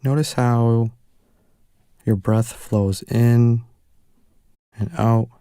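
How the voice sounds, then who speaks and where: A man leading a guided meditation, giving calm, gentle instructions with unhurried pauses. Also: medium pitch, clear, resonant tone, no room sound, clean studio sound.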